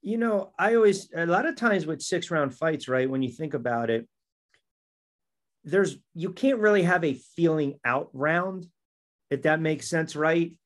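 Higher-voiced man hosting a sports talk. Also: a little slow. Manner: conversational, asks leading questions. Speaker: podcast host.

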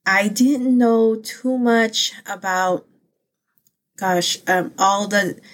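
A woman's voice: mid-range voice, very slow. Earnest rambling woman, Filipino-born. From Philippines. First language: Pangasinan and Ilocano. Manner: earnest and heartfelt, rambling with many fillers and restarts. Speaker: channel host. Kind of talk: casual personal faith vlog.

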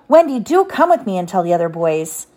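A woman's voice: on the low side, big pitch swings, fairly quick, warm tone. A woman voicing an eager, cocky young boy adventurer, blunt and boastful, in a children's storybook read aloud.

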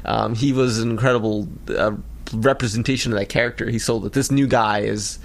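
An American man around his thirties or forties chatting casually as a podcast co-host, talking in a rambling, halting way. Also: on the low side, fairly even intonation, vocal fry. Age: 30s or 40s. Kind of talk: casual chat about a cartoon episode.

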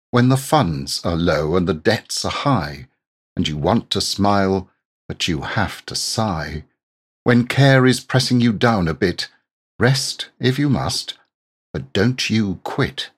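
A man reading inspirational verse aloud in a deliberate, earnest, exhorting manner. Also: deep voice, breathy edge, no room sound, studio quality. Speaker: poetry reader. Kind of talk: measured inspirational poetry recitation.

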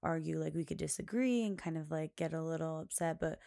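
A woman hosting her own podcast, chatting casually in a loud, carrying voice, rambling with many fillers and frequent laughs. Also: low voice, brisk, vocal fry, no room sound, clean studio sound. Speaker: podcast host. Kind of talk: casual chat.

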